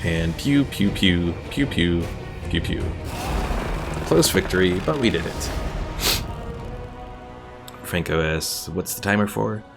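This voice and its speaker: A man's voice: low voice, slow, gravelly, pauses often. A man streaming a strategy game, chatting casually through a battle and answering a viewer's question. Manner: relaxed, playful sound effects. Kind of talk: casual gameplay commentary. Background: game music.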